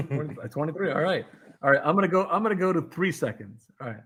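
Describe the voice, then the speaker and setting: Man, livestream host, rapid talk, husky, slightly high, argumentative, restarts words. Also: lively intonation, a bit bright, dry recording. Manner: argumentative, repeats words and says "man" a lot. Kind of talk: casual debate chat on a live stream.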